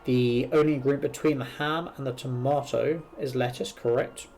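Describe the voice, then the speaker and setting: A man is streaming a puzzle game with its music playing behind him. He reads the clues aloud and reasons through them step by step. His voice sits at a middling pitch as he gives his running commentary. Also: fairly even intonation, moderate pace, a little mellow. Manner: thinks aloud while working through puzzles. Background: video game music.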